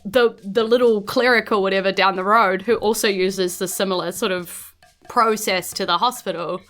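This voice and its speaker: A woman's voice: mid-range voice, steady tone, normal speed, a bit bright, faint music behind. A woman with a New Zealand accent improvising narration as game master of a tabletop game, in an informal, conversational way. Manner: informal, conversational, hedging with "like" and "you know".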